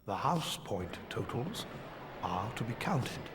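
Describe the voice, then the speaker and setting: Old headmaster, drawn-out solemn announcing, creaky low voice, echoing noisy hall, game cutscene. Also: male voice, big pitch swings. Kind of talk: ceremonial announcement, video game acting. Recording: echoey hall, clear background noise.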